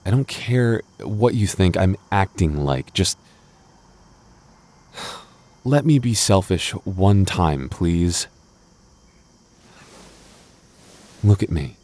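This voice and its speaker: Young male lover played by a voice actor, deep and soft-toned, possessive yet devoted, gets defensive, intimate roleplay. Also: expressive, slow, pauses often, exaggerated voice. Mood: pleading, insistent. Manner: stammering, defensive, teasing asides. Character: jealous, protective young boyfriend, defensive but loving. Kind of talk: intimate boyfriend audio roleplay.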